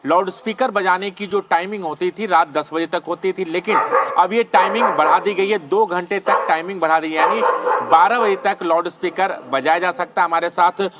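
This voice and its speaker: A man's voice: sits high, steady tone, normal speed, noisy background. A man working as a TV field reporter, presenting news and questioning people. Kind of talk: news reporting and street interviews.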